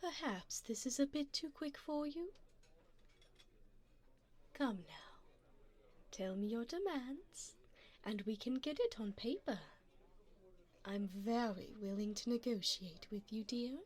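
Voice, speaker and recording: A woman's voice: a little high, darkish tone, heavily acted. A woman voicing a wealthy, doting older vampire sugar mommy in an ASMR romantic roleplay, coaxing and refined as she pampers her darling. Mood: coaxing negotiation.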